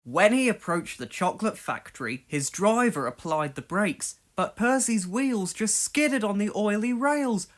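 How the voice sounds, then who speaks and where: A storyteller reading a scripted children's read-along with expressive delivery. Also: mid-range voice, unhurried, hardly any pauses. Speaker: storybook narrator.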